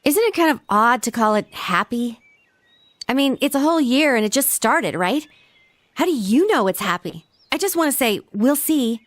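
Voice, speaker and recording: A woman's voice: high-pitched, lively intonation, moderate pace. A woman playing a cute, pampered teenage girl in a video game, blunt and complaining with a demanding, coquettish streak. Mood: casual, contrary. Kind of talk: video game dialogue acting.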